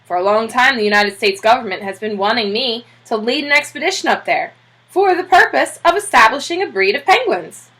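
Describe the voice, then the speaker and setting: A woman's voice: fairly high pitch, lively intonation, fairly quick, a bit bright, poor audio. A woman voicing a hearty, emphatic bearded admiral in a children's storybook. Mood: hearty, confident. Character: Admiral Drake, great bearded hearty explorer man.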